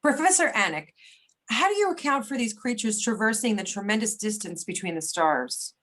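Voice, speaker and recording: A woman acts as an inquisitive questioner in a readers theater play. Her voice is on the high side, and she speaks formally, in a scripted manner, as if addressing a professor. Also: animated, normal speed, a bit bright.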